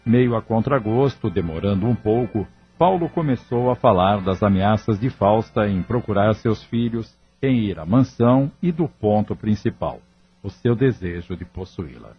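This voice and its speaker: Narrator for radio drama: Brazilian man, lowish pitch, scripted storytelling, slightly muffled recording. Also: animated, moderate pace, breathy edge. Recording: dull lo-fi audio with music.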